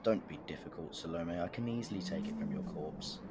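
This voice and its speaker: An actor playing a cold, scheming villain in a science fiction audio drama, with a smug, menacing manner. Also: male voice, low voice, a little fast, noisy background. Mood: cold, threatening. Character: cold, scheming male villain holding hostages at gunpoint.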